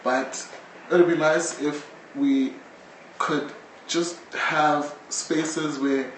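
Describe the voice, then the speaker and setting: A man's voice: fairly high pitch, steady tone, drawn-out words. A man with a South African accent talking casually as an interviewee. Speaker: interviewee, audio drama creator. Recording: echoey room, distant mic, faint noise.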